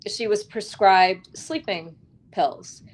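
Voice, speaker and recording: Woman, medium pitch, interviewed author, earnest, reflective, deliberate emphasis, pauses between phrases. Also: low-quality recording.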